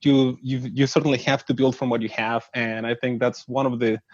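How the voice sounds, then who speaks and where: Earnest CEO from northern Mexico. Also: male voice, mid-range voice, level tone, a little fast, no echo. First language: Spanish. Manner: earnest, many restarts. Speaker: startup CEO, guest. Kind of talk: interview answers. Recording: dull dry lo-fi audio.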